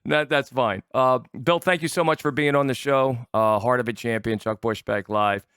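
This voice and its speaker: A man's voice: medium pitch, normal speed, fairly bright tone, clear, resonant tone, no echo, studio quality. A middle-aged man casually hosting an interview, effusive and complimentary toward the people he talks with. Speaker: talk show host.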